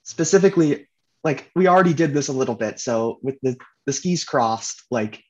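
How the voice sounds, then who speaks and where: A man hosting a podcast, talking in a relaxed, chatty way. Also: medium pitch, normal speed, highs cut off. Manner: relaxed, chatty, uses fillers. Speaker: podcast host. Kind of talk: casual podcast chat.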